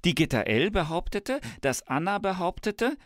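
Comic podcast part: smug tech-believer professor, male actor, emphatic and theatrical, animated pitch, dry studio recording. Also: moderate pace, no room sound. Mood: insistent. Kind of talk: scripted comic dialogue. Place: radio studio. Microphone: studio microphone.

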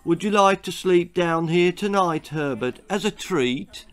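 A man's voice: sits high, lively intonation, measured pace, fairly bright tone, faint music behind, voice pushed up. A man with a British accent voicing a doting, indulgent mother in a storybook, coaxing and sing-song. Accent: British English.

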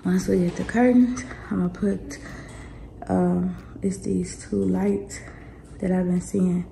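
A woman in her thirties with a raspy voice, chatting casually and laid-back through a home vlog, sometimes dropping to a soft aside. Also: lowish voice, fairly even intonation, slow pace, roomy sound, slight background noise, low-quality recording. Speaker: home vlogger. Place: living room in a house. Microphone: phone camera held or set on a table. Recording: background music under the voice.

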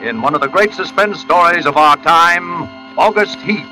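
A man's voice: fairly high pitch, normal speed, over music, rough recording. A man introducing a radio drama in dramatic, formal 1940s announcing style.

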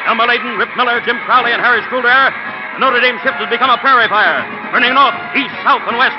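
An actor playing a grandiose sports commentator, declaiming urgently in a 1940s radio drama style. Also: male voice, very high voice, fast. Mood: exultant, urgent. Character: grandiose, breathless male sports commentator narrating. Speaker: narrator. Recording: muffled 1940 radio, loud music behind.

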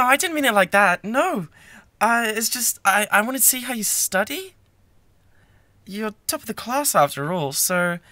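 A man with a British accent acting a bratty, obsessive young classmate in an ASMR roleplay, stammering and intense, shifting between soft pleading and outbursts. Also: sits high, no echo, heavily acted. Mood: flustered, nervous, then awkward, eager. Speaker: audio roleplay performer.

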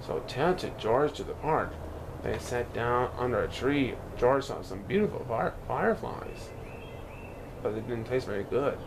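Animated man reading a children's storybook. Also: mid-range voice, measured pace, gravelly, noisy background. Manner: animated storytelling, occasional stumbles over words. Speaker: storybook reader.

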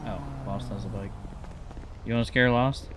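A man's voice: medium pitch, fairly even intonation, normal speed, fairly bright tone. A man gaming as a police officer, chatting casually in short remarks during play. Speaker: gamer playing a police officer. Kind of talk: casual in-game chat. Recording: noisy game audio behind voice.

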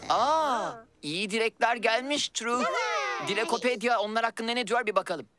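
A woman's voice: a little high, moderate pace, close to an everyday voice. A woman voicing a thoughtful, earnest young boy who explains things expressively in a children's cartoon. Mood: explaining. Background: children's music.

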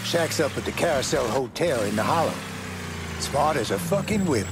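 An actor playing a foul-mouthed, boisterous aging mobster with an American accent and a gravelly, rambling, streetwise delivery in a video game. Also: male voice, mid-range voice, animated, moderate pace, natural voice. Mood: animated reminiscing. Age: older. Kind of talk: video game character acting. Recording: game audio over loud music, engine noise.

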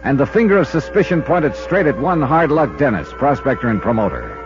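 A man acts out a self-assured American investigator from 1950s radio drama. His delivery is wry and very animated in pitch. The sound is an old, muffled broadcast with music clearly behind it. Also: fairly quick, natural voice. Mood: brisk, businesslike. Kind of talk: 1950s radio detective drama narration and dialogue. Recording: dull 1950s radio recording, loud music.

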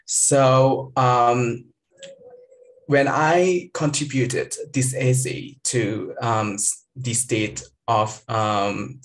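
A man's voice: medium pitch, fairly even intonation, poor audio. Earnest young Indonesian man on a video call. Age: 20s. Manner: earnest, personal, measured with frequent ums. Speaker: panelist, researcher. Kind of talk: speaking on an online panel. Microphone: webcam on a video call.

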